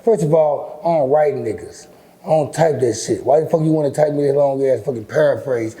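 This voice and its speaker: A middle-aged man with a deep, raspy voice, talking bluntly and with lots of swearing as an interview guest. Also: brisk. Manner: blunt, profane, animated storytelling. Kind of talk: casual interview talk.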